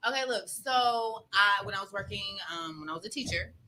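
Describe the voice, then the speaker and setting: A woman and former teacher, guesting on a podcast, telling a story quickly and with animation. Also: medium pitch, bright, forceful tone, a little hiss or hum, low-quality recording. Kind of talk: casual storytelling.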